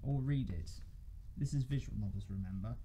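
A man's voice: on the low side, a little fast, background noise. A young man co-hosting a casual video discussion. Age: young adult. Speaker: co-host.

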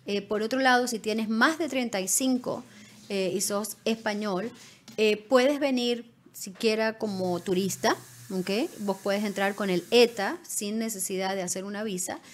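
A woman's voice: medium pitch, a little slow. A middle-aged woman speaking conversationally as an immigration consultant, explaining things directly to viewers by name. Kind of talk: conversational advice on a live show. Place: small indoor studio. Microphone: studio microphone on desk stand, close.

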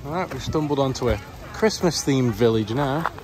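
A man's voice: mid-range voice, moderate pace, over music. A British man chatting casually as he films a walkaround vlog outdoors. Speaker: vlogger. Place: outdoor family park, walking in woods.

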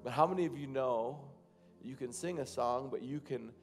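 A man in his thirties, a pastor, preaching and then praying over his congregation from a church stage, with loose, halting phrasing that rises to fervent prayer. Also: medium pitch, soft background music. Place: large church stage, indoors.

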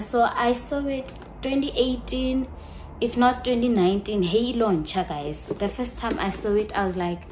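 A woman's voice: mid-range voice, darkish tone, slight background noise, rough recording. A young woman chatting to camera, gushing about her perfumes and slipping into local phrases. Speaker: vlogger. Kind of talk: casual chat to camera, showing perfumes.

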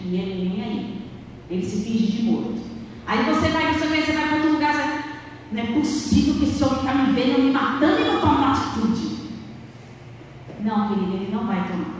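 High-voiced woman doing a marriage talk on stage, big echoey hall, mic picked up from far off. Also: slight background noise. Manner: lively, playful, acts out little scenes. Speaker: marriage speaker. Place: large dark stage, church hall. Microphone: handheld mic.

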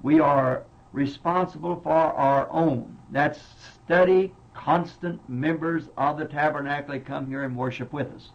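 Male pastor, Southern American accent, fairly high voice, earnest plain-spoken sermon, dull lo-fi old church tape. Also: steady tone, unhurried, few pauses. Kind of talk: sermon answering congregation questions. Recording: dull lo-fi 1960s tape recording.

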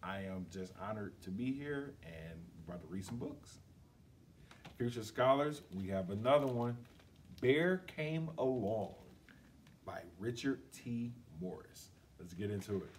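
A man's voice: on the low side, animated, a little slow. A middle-aged man from Detroit with an American accent, giving a casual introduction to camera in a library. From Detroit, United States. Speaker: guest reader introducing himself, radio station employee. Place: school library, seated indoors. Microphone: camera several feet away. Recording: distant mic, noisy room ambience.

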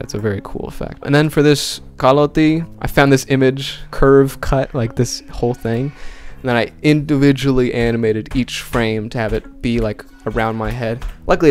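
A young man whose first language is Finnish, talking casually and jokingly into a close handheld mic in a small bedroom as he walks through how he edited a video. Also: medium pitch. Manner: chatty, jokey, animated. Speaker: video creator. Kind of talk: casual tutorial vlog. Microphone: handheld dynamic mic close up.

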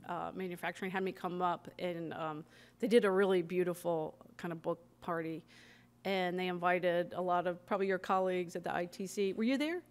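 A woman's voice: fairly low pitch, brisk. Chatty woman author at a podium. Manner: chatty, animated, frequent ums and restarts. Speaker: author speaking at a library event. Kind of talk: talk and audience Q&A. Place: library meeting room, at a podium. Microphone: podium microphone.

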